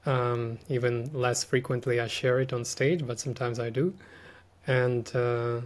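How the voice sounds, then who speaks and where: A man's voice: medium pitch, steady tone, unhurried. A man, talking as a podcast guest in a thoughtful, hesitant, casual way. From Ukraine. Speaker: podcast guest, artist and language teacher. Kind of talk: casual reflective conversation.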